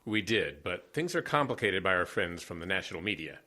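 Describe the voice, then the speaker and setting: A man's voice: low voice, sing-song, brisk, sharp tone, full character voice. An actor playing a blunt, impatient man set on keeping the town's secrets. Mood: tense. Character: blunt male small-town fixer, secretive.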